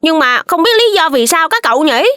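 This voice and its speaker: Cartoon kid: chubby, cheerful, excitable, piercingly bright, close dry sound. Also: high voice, fairly quick, no room sound, exaggerated voice. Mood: cheerful, eager. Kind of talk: children's cartoon dubbing.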